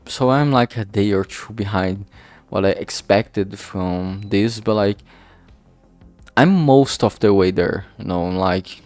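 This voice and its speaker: A man talking casually and haltingly in a rambling vlog, informal and self-deprecating, with some swearing. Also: fairly low pitch, a little mellow, no room sound. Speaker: indie visual novel creator and vlog host. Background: background music.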